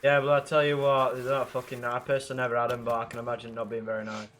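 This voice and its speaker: A young man with a blocked nose and rough throat, chatting casually and swearing with viewers while playing a game. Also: medium pitch, little pitch movement, rapid-fire, a bit bright, a little hiss or hum. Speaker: livestreamer playing a zombie game.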